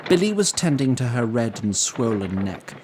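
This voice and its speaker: A man narrating a fantasy audio drama in a deliberate, solemn, scripted storytelling style. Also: mid-range voice, very animated, background noise. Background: music.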